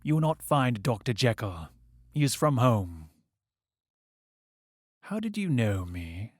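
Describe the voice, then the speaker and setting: A small, sinister villain in a gothic audio drama. His voice is husky, whispering and broken, very close and dry. He speaks extremely slowly, with cold defiance and menace. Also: mid-range voice, sing-song, dry recording, full character voice. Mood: cold, guarded. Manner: slow, coolly menacing.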